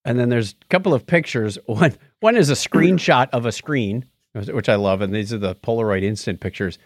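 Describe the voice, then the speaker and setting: A man's voice: mid-range voice, normal speed, no echo. A man hosting a podcast, chatting casually and playfully about tech history. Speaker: podcast host.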